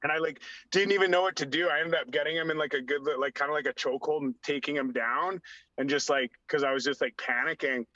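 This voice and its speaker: Bright, forceful man telling wild stories. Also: a little high, steady tone, fast, dry recording. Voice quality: slightly raspy. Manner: acts out dialogue, heavy use of "like". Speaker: podcast guest, former hockey enforcer. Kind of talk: animated storytelling. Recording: dull lo-fi sound.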